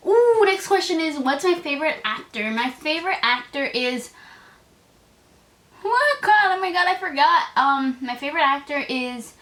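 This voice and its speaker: Chatty eighteen-year-old girl, slightly high voice. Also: expressive, normal speed, fairly bright tone. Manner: chatty and gushing, many "like" and "oh my god" fillers. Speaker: vlogger. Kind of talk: casual tag video chat to camera.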